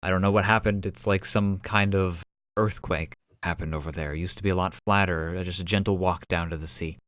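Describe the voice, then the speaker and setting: A man's voice: low voice, fairly even intonation, brisk, a bit bright, no echo. A man improvising as a player in a tabletop roleplaying game.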